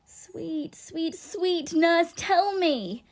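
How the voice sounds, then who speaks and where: Woman as a high-voiced, lovestruck young girl. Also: animated, drawn-out words, exaggerated voice. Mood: urgent pleading. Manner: pleading, dramatic verse delivery. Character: eager, impatient young girl in love. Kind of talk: Shakespeare audio drama acting. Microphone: phone, recorded at home. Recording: noisy home phone recording.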